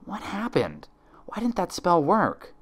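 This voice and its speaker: A man's voice: high-pitched, wildly swooping pitch, slow pace, fairly bright tone, voice pushed up, exaggerated voice. A man voicing a frightened, straining young female unicorn pony in a story reading. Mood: confused. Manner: straining, gasping, pleading.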